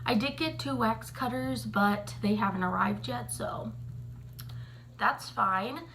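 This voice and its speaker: Chatty slow-talking woman, husky. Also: on the high side, a bit bright, a little hiss or hum, low-quality recording. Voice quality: slightly raspy, morning voice. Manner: chatty, rambling, many ums and likes. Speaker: vlogger. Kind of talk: casual chat to camera.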